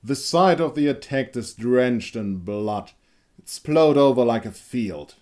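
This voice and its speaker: A man voicing a hardened older monster hunter, blunt, controlled and contemptuous, in a dark fantasy audio story. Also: medium pitch, expressive, slow, pitched above the performer's own voice. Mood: calm, firm.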